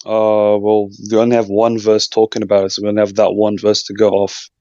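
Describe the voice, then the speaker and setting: A man's voice: on the low side, monotone, brisk, mellow, lo-fi sound. A man debating online, defensive and repeating words when pressed. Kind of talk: casual debate.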